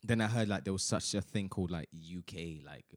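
Bantering young Nigerian-born man, low voice. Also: brisk, no echo, studio quality. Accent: light Nigerian. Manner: laughing, teasing, says bro a lot. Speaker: podcast host. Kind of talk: casual chat.

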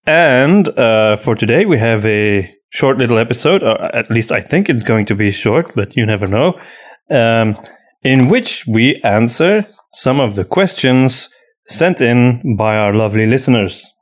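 A man hosting a podcast in casual conversation, explaining things in a measured, reflective way with hesitant pauses. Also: mid-range voice, animated. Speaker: podcast host.

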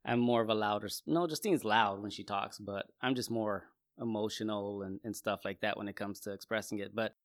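A man's voice: fairly low pitch, fairly even intonation, fairly quick, few pauses. A man hosting a casual conversation, speaking informally and expressively. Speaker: host.

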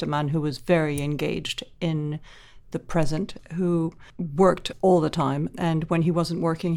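A woman's voice: low voice, gravelly, darkish tone. A middle-aged woman from Venezuela, an author telling personal stories in a thoughtful, earnest, descriptive way as an interview guest. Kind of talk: reflective personal storytelling in interview.

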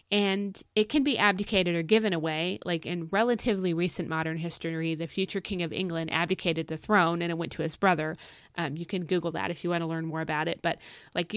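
A woman's voice: on the low side, dry recording, studio quality. A woman giving a casual, earnest Bible devotional in a conversational, emphatic way, her voice slightly scratchy as if starting to go. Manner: conversational, earnest, emphatic repetition of key words. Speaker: podcast host teaching the Bible.

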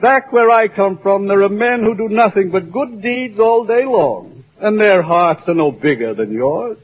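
An actor playing a blustering, kindly old humbug wizard in pompous, long-winded style for an old radio drama. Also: male voice, high-pitched, animated, unhurried. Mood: mock-solemn. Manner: pompous, long-winded, mock-formal oratory. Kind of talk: 1950s-or-earlier radio drama acting. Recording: old muffled radio recording with music behind.

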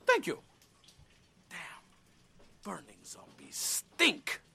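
A man's voice: high-pitched, huge pitch swings, very slow, vocal fry, bright, forceful tone, slightly rough, heavily acted. A man playing a jumpy but brave, wisecracking young survivor in a zombie audio drama. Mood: determined.

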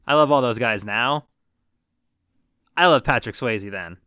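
A man's voice: medium pitch, a little slow, bright, forceful tone, smooth, no echo, studio quality. A man in his thirties co-hosting a casual movie podcast, joking and swearing freely. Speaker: podcast co-host.

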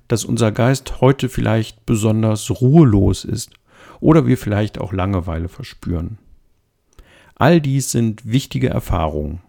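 A man calmly giving an introduction to a body scan meditation, speaking slowly and gently. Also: fairly low pitch, gravelly. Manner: slow, gentle, soothing. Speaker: meditation guide.

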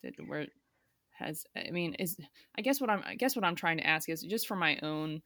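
Low-voiced woman asking rambling questions. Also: expressive, moderate pace, pushed and bright, no room sound, studio quality. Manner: rambling, hesitant questions with fillers. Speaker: interviewer. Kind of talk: conversational interview questions.

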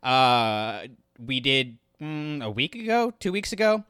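Man, podcast co-host, bright forceful voice, jokey rambling chat, close dry sound. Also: medium pitch, very animated, takes it slowly, clean tone, no echo.

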